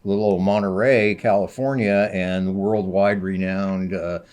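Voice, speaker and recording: An older man with a deep, resonant radio voice, a public radio host chatting casually, unhurried and full of fillers. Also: steady tone, a little mellow. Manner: unhurried, many uh fillers, jokey. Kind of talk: casual radio studio chat.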